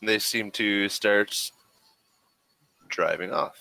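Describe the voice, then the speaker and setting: A man improvising as game master of a tabletop role-playing game, talking casually and haltingly. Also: fairly low pitch, creaky, pushed and bright, no room sound.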